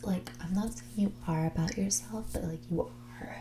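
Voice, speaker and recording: A woman playing a spoiled, haughty young heiress in a whispered, soft-spoken ASMR roleplay, with a condescending, drawling manner. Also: fairly low pitch, normal speed, background noise, natural voice. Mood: condescending, mocking. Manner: snobbish, condescending, drawling, filler-laden valley-girl phrasing.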